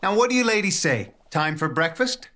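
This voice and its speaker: A man's voice: fairly high pitch, big pitch swings, moderate pace. A man voicing an irritable, exasperated father in a cartoon. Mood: grumpy. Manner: irritable, stern. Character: grumpy exasperated bespectacled father.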